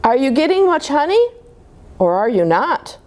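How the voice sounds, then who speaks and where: A woman's voice: high-pitched, big pitch swings, normal speed, a little mellow. A woman voicing an eager, curious little boy bear in a children's storybook, chirpy and full of questions. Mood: eager questioning.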